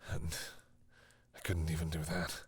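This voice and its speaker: A man playing a protective, anguished vampire devoted to a human partner, in an intimate dramatic audio roleplay, with a breathy, brooding yet tender delivery. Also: rumbling low, completely flat delivery, unhurried, dry recording, heavily acted. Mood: remorseful, tender. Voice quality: husky, breathy.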